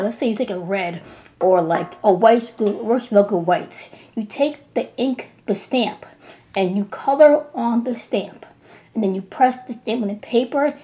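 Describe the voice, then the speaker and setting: A woman's voice: mid-range voice, warm tone. Enthusiastic woman with a slight cold. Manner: enthusiastic, gushing, chatty with restarts. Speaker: art supply reviewer. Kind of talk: casual product demo. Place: small indoor room at home.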